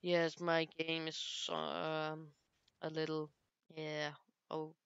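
Soft-spoken girl gaming. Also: deep voice, completely flat delivery, clean tone, no echo, lo-fi sound. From Belgium. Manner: halting, searches for English words. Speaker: gaming youtuber. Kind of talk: casual gameplay commentary.

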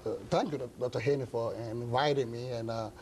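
A middle-aged man with a Ghanaian accent, speaking as an interview guest in measured, hesitant phrases. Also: medium pitch, fairly even intonation, a little mellow, a little hiss or hum. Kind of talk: studio interview, measured explanation. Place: indoor television studio set. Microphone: lapel mic.

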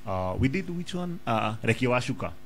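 A man in his thirties with a Kenyan accent, talking as a guest rapper in a casual radio studio interview. He speaks in an animated way, often restarting his sentences, close to a studio mic on a boom arm in a small room. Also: fairly quick. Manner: animated, mixes English and Swahili slang, many restarts.

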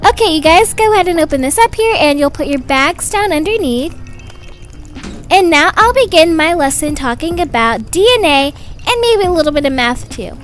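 A woman's voice: high voice, expressive, normal speed, faint music behind. A woman voicing a perky, self-satisfied kindergarten teacher who chats and instructs in a roleplay story. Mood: brisk, instructing. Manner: chatty, self-admiring, instructing. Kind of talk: animated doll-game roleplay voice acting.

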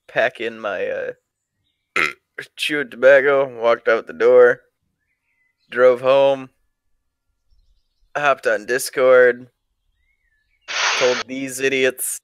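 A man's voice: mid-range voice, fairly bright tone, clean tone, dry recording. A man casually telling stories to friends and a live chat as a streamer, crude and swearing heavily.

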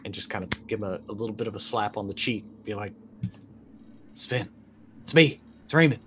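A man's voice: lowish voice, a little fast, heavy background noise. A man in his thirties improvising as a player in a tabletop roleplaying game, speaking in hesitant, broken phrases. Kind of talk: improvised in-character roleplay and narration.

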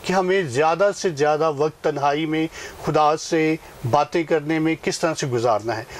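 A man's voice: a little high, racing, a little hiss or hum. A middle-aged man hosting a TV studio interview, polite and formal, with short affirmations between questions. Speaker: talk show host. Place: TV news studio set.

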